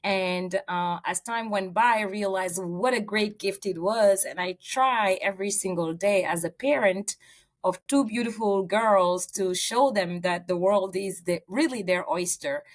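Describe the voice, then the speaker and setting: A woman's voice: mid-range voice, unhurried. A middle-aged woman from Senegal, a real estate agent answering interview questions in earnest storytelling with fillers.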